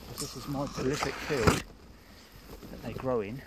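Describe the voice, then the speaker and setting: A man's voice: mid-range voice, normal speed. The fellow you would meet alone in a long-grass field, muttering a casual commentary into his handheld camera through heavy noise. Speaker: vlogger and forager. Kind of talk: casual outdoor vlog narration. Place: outdoors in a grassy field with trees. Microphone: handheld phone or camera. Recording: very noisy outdoor handheld recording, handling clicks.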